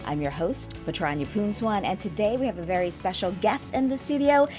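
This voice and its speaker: A woman's voice: medium pitch, animated, brisk, a little mellow, soft background music. A woman hosting a studio interview show, speaking in an encouraging manner. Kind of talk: studio interview with scripted introduction.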